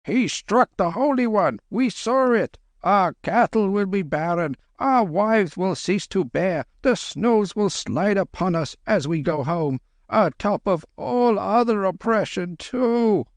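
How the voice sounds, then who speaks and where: A man's voice: high voice, expressive, takes it slowly, hardly any pauses, dry recording, pitched above the performer's own voice, exaggerated voice. A man voicing frightened, superstitious hill porters, clamouring in panic. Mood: panicked.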